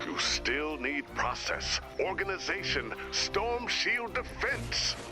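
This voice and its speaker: A man's voice: on the high side, sing-song, slow pace, music in the background. A man playing an orderly, exasperated video game character, speaking in a wry and emphatic way. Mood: insistent.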